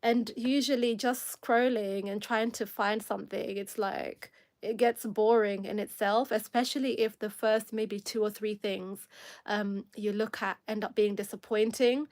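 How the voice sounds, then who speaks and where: A woman's voice: mid-range voice, steady tone, measured pace, a little mellow. Chatty Kenyan woman, rambling and laughing. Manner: rambling, self-correcting, laughs often. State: tired. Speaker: livestream host. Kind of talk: casual livestream chat.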